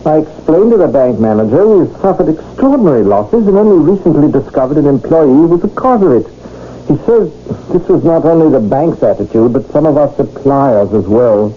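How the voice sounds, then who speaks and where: An actor playing an earnest, mild London shopkeeper husband in a radio drama, hesitant and pleading, with stammers. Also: male voice, a little high, lively intonation, brisk, narrow, tinny audio, close to an everyday voice. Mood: distressed, bewildered. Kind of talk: 1970s radio drama acting. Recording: thin, noisy old radio broadcast.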